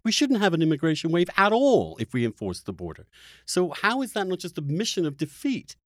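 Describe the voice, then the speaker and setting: A man's voice: high-pitched, huge pitch swings, fairly quick, dry recording, studio quality. A man hosting a podcast interview, speaking in an opinionated, argumentative and questioning way with a lot of emphasis. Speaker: podcast host.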